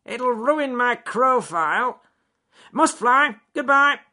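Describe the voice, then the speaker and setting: A man voicing a fussy, grumpy male rook who fusses over his aches, speaking in a croaky, grumbling voice in a storybook reading. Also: very high voice, lively intonation, slow, sharp tone, voice pushed up, heavily acted.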